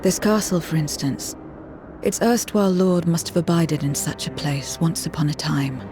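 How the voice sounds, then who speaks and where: A woman playing an ageless, half-voidsent woman in a video game, grave, formal and measured, proud and detached. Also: low voice, over music, lightly acted. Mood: somber, remembering. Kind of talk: dramatic video game cutscene acting.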